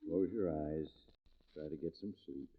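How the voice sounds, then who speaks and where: An actor playing a small-town sheriff in a 1940s radio drama. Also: male voice, a bass voice, a little slow. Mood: soothing, weary. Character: small-town sheriff, protective, then gasping and mortally wounded. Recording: dull vintage 1940s radio recording.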